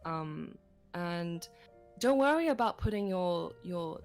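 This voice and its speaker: A woman with a low, gravelly voice teaches singing technique during a livestream. She explains things casually, leaning on "like" and simple pictures to make a point. Soft music plays faintly underneath. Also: lively intonation, takes it slowly. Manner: explains technique with many "like" fillers and imagery. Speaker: singing instructor.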